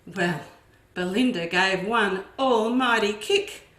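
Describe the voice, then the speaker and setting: Woman educator, unhurried storytime reading for kids, lively asides, roomy echo. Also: mid-range voice. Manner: expressive, with asides to young listeners. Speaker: children's educator. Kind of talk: storytime read-aloud. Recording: echoey room.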